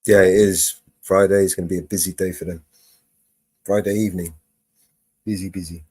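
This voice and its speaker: British male host on a call, low voice, bone-dry sound, stumbles through fillers. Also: level tone, a little fast, darkish tone, slightly rough, no room sound. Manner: hesitant, filler-heavy when explaining. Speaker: host calling a police department. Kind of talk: live-streamed phone call.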